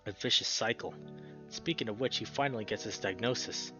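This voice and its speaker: Expressive man narrating over music. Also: fairly low pitch, a little fast, lo-fi sound. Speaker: host of a visual novel playthrough. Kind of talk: reading game text aloud with spontaneous reactions.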